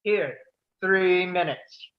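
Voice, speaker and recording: A woman reading the part of a curt male ice rink cleaner, terse and flat. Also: lowish voice, extremely slow. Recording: dull lo-fi mic with faint hiss.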